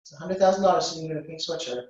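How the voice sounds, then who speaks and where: A woman's voice: deep voice, very fast, darkish tone. A woman coaching a workshop live, giving short, blunt, commanding instructions and cutting in to correct. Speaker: business coach leading a workshop. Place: indoor reverberant room. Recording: echoey room, distant dull microphone.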